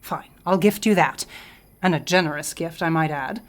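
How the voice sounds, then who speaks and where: A woman's voice: low-pitched, normal speed, close to an everyday voice. An actress playing a rational, skeptical intellectual woman, wry and articulate, in a radio horror drama. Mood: skeptical, curious.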